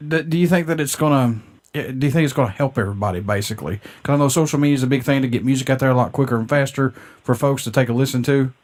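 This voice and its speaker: Easygoing male radio host, mid-range voice, relaxed question style. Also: mid-range voice, racing. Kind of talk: interview questions.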